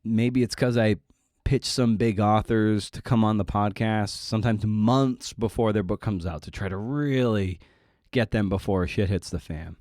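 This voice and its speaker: A man's voice: fairly low pitch, unhurried, a little mellow, no echo, clean studio sound. A middle-aged man hosting a podcast, talking casually and confessionally in a self-deprecating, rambling, sweary way. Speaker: podcast host.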